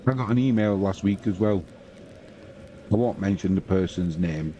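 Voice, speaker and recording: A British man in his fifties talks through football scores on a live stream, slow and chatty in manner. His voice sits deep and warm. A faint hiss of background noise stays under him throughout. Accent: British English. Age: 50s. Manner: slow, unhurried, chatty, reads scores aloud. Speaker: football commentator and host. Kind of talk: relaxed live-stream football commentary and chat.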